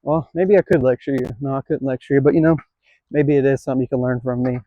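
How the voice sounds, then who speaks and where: A man's voice: mid-range voice, steady tone, fast talker, dry recording, highs cut off. A man in his late twenties filming a casual skateboarding vlog, rambling and self-deprecating, swearing now and then. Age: 20s. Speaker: skateboarding vlogger.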